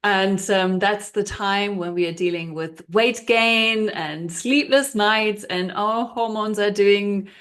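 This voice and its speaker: A woman's voice: medium pitch, slow pace, few pauses, a bit bright. A woman in her fifties talking as an interview guest in a friendly, agreeable, conversational way. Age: 50s. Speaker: podcast guest, nutrition coach.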